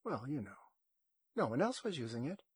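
A man's voice: mid-range voice, big pitch swings, moderate pace, a little mellow, breathy edge, no echo. A wary young woman who deals in salvage, read by a man. She talks casually but evasively, hesitating as she explains herself, in a dry audiobook recording.

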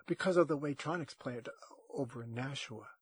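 A man's voice: medium pitch, moderate pace, husky, dry recording, lightly acted. A wary young woman who deals in salvage, read by a man. She talks casually but evasively, hesitating as she explains herself, in a dry audiobook recording.

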